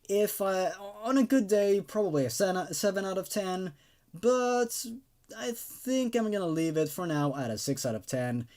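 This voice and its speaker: Male host, fairly high voice, very lively intonation, rambling off-the-cuff review style. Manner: hesitant, rambling, often qualifying opinions. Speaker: host of a story-reading channel. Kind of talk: casual spoken review.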